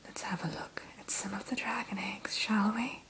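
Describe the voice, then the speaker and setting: A woman in an ASMR roleplay who whispers gently and courteously as the keeper of a dragon hatchery. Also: medium pitch, fairly even intonation, normal speed, poor audio.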